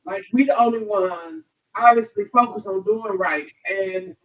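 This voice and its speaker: A woman's voice: medium pitch, unhurried, distant mic, dull audio. An American woman on a scripture study panel, talking conversationally and checking in often, picked up without a microphone of her own. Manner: conversational, frequent check-ins with listeners. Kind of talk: casual Bible discussion. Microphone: picked up from across by another's mic.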